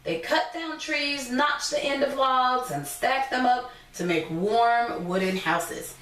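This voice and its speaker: A woman teacher reading a picture book aloud to young children, pausing to explain things simply in her own words. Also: sits high, fairly bright tone. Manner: instructive, repeats and explains points simply. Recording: echoey room, distant from mic.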